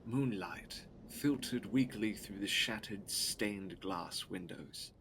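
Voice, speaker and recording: A man narrating a gothic audio drama from a script in a solemn, measured, dramatic way. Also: fairly low pitch, vocal fry, noisy background.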